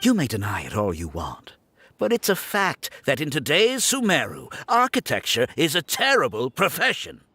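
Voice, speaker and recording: An actor playing a smug, scheming architect in a video game, speaking in a condescending, self-justifying way. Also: male voice, fairly high pitch, huge pitch swings, normal speed, sharp tone, no room sound, full character voice. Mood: smug, persuasive. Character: smug, scheming male architect running a scam academy. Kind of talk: theatrical video game voice acting. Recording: game audio with background music.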